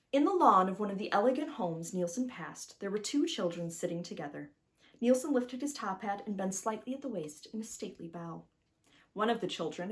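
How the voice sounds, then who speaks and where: Expressive woman reading a children's storybook. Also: mid-range voice. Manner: addresses the listener directly, emphatic storytelling. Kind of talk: expressive scripted storybook narration.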